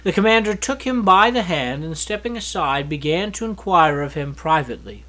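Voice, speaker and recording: Male scripture reader, earnest and steady, lively intonation, occasional self-corrections. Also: fairly high pitch, moderate pace. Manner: steady, earnest reading with small slips and restarts. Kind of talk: reading a Bible chapter aloud.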